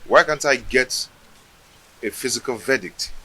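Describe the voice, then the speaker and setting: A bright-voiced petitioner. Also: male voice, mid-range voice, lively intonation, measured pace, heavily acted, low-quality recording. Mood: demanding. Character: defiant man questioning a shrine's oracle.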